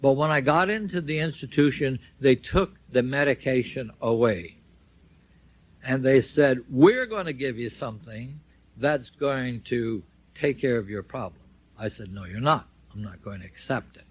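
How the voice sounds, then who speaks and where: Older male speaker, husky and unhurried, emphatic public storytelling on a dull lo-fi radio recording. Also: medium pitch, animated. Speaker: speaker at a symposium, recounting his own legal case. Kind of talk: public speech, personal storytelling.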